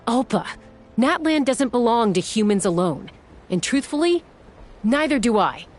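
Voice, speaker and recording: Tough young heroine, very expressive pitch. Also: female voice, unhurried. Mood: firm, reproachful. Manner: blunt, firm, confrontational. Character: tough, principled young woman warrior, blunt and defiant. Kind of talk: scripted video game voice acting. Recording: music under the voice.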